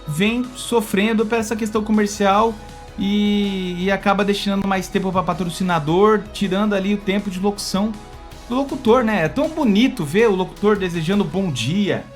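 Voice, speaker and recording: A Brazilian man hosting a casual opinion video, talking to viewers in an expressive, conversational way that often seeks their agreement. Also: high voice, moderate pace, soft background music. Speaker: YouTube channel host.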